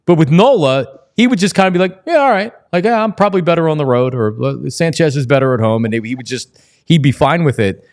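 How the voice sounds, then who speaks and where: A man's voice: on the high side, lively intonation, fairly quick. A man hosting a casual sports chat in an eager way, often stammering over repeated words. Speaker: sports show host.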